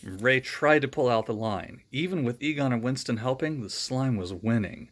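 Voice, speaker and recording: Guy reading a kids story out loud, chatty little jokes in between, medium voice. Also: mid-range voice, moderate pace. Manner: friendly, chatty asides between read passages. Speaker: children's story reader.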